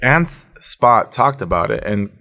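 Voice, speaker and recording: A man's voice: fairly low pitch, lively intonation, a little slow, creaky, sharp tone. A young man with a slight Mexican-American accent, chatting as a podcast guest in casual, profane banter, laughing often. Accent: Mexican-American English. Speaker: guest, longtime friend of the show.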